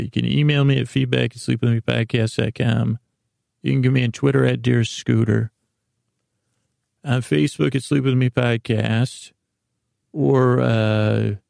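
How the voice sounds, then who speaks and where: A man's voice: mid-range voice, vocal fry, a little mellow, dry recording, studio quality. A man hosting a sleep podcast, rambling through a meandering bedtime monologue and stumbling over words on purpose. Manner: rambling, self-interrupting, botches words on purpose.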